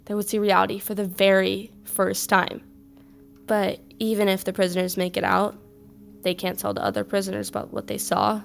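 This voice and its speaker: An actress playing an earnest student giving a thoughtful, deliberate class presentation in an audio drama. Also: female voice, mid-range voice, creaky, close to an everyday voice.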